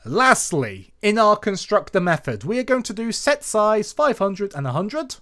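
Male tutorial narrator, animated intonation, informal, methodical explainer, voice-over. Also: sits high, a little slow. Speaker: tutorial presenter. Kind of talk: scripted programming tutorial narration with informal asides. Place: voice-over to a screen recording.

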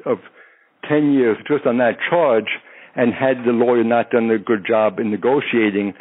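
A man's voice: mid-range voice, fairly even intonation, moderate pace. A retired undercover agent in his fifties, a native French speaker, recounting cases in a rambling interview full of fillers. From born in France, raised in the United States. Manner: rambling, many fillers and restarts. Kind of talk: interview storytelling. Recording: tinny phone-line audio.

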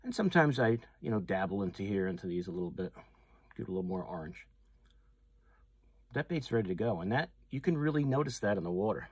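A man's voice: on the low side, very animated, fast, slightly rough, no room sound. A man, hosting a fishing channel and chatting casually through a hands-on demonstration, full of fillers and restarts. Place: tackle shop or room with walls of packaged lures, indoors.

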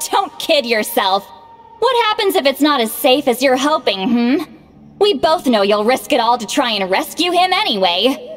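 Bossy, animated shaman with high voice. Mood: indignant scolding. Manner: brisk, exasperated, lecturing. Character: proud, bossy, youthful-sounding female shaman called Granny. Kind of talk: anime game voice acting. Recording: long reverb, music behind.

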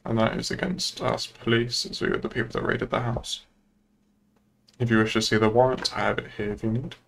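A man's voice: medium pitch, flat delivery, brisk, gravelly, a bit bright. A man speaking formally and procedurally in improvised police roleplay dialogue. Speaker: roleplay player as police officer. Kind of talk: improvised in-character game dialogue.

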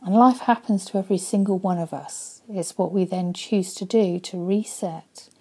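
A woman in her forties, a life coach, speaking earnestly and softly into a webcam in her living room, often dropping to a breathy near-whisper. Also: mid-range voice, normal speed. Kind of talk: motivational vlog talk.

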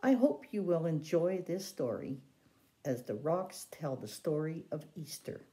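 Mellow older woman reading slowly aloud. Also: low-pitched, lively intonation. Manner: measured, careful reading in short phrases. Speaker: guest story reader. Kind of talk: read-aloud children's picture book with Bible verses. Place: room at home. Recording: roomy echo.

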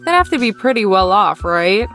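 A woman's voice: medium pitch, sing-song, moderate pace, fairly bright tone, soft background music. An actress playing a blunt, unimpressed young woman traveler in a visual novel, speaking curtly and matter-of-factly. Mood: blunt, skeptical. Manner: curt, matter-of-fact.

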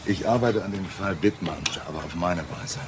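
An actor playing an evasive, sardonic lone-wolf police detective in a radio crime drama, with a casual, needling manner. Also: male voice, sits low, moderate pace, close to an everyday voice. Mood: defiant. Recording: clear background noise.

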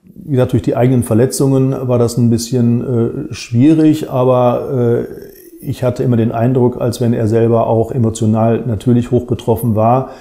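A man in his fifties giving a halting interview answer as a lawyer, recorded in an office. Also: mid-range voice, little pitch movement, warm tone. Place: small office indoors.

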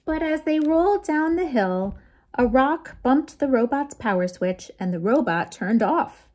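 An American woman in her forties hosting a read-aloud storytime for young children, in an animated, sing-song way with playful sound effects. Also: sits high, unhurried. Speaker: children's librarian, storytime host. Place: small lamp-lit room at home.

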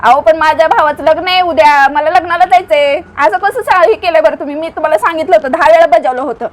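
Woman playing angry female customer, prank call, high-pitched, blunt, scolding, stubborn, rural Maharashtrian accent. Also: fast, lightly acted. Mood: aggrieved. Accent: rural western Maharashtrian Marathi.

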